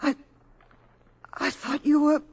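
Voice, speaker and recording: An actress playing a frightened, earnest young woman in an old radio drama, breathless and highly dramatic. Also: flat delivery, slow pace, a little mellow. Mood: hushed, anxious. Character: frightened earnest young woman facing a menacing alien robot. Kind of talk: 1950s radio drama acting. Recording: old radio broadcast with music behind.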